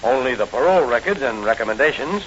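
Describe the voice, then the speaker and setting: A man's voice: medium pitch, expressive, fast, fairly bright tone, natural voice. An actor playing a stern, skeptical middle-aged commissioner and worried father in a 1940s radio drama. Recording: muffled, faintly hissy old radio recording.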